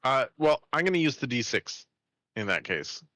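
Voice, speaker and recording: Bright-voiced man playing a tabletop game. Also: mid-range voice, big pitch swings, normal speed, no echo, clean studio sound. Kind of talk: casual game chat.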